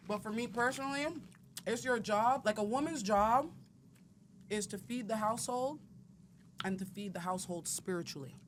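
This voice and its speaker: A woman's voice: fairly high pitch, moderate pace. Woman in her 30s, blunt and outspoken, casual podcast chat, bit of noise behind. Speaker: guest.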